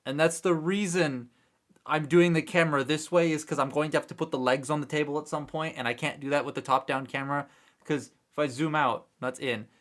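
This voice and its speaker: A man's voice: a little high, steady tone, a little fast, a bit bright. A man hosting a casual toy livestream, chatting to viewers in a rambling way with many fillers, still a little congested from a cold. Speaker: toy review livestream host. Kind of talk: casual live chat while building a kit. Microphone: desk mic close by.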